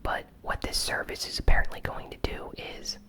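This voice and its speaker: Whispered ASMR gaming news host. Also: normal speed. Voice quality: soft unvoiced whisper. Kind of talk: whispered ASMR news recap with casual commentary.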